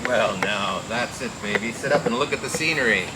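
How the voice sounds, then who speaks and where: An actor playing a wisecracking, cool private detective who narrates in slangy old radio noir style. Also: male voice, on the low side, fairly quick, natural voice. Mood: teasing. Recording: noisy old radio drama recording.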